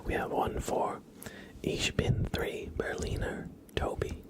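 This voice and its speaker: A man hosting an ASMR livestream, speaking in a gentle, mostly unvoiced whisper and repeating phrases unhurriedly. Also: takes it slowly. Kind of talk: ASMR, greeting viewers and tracing their names.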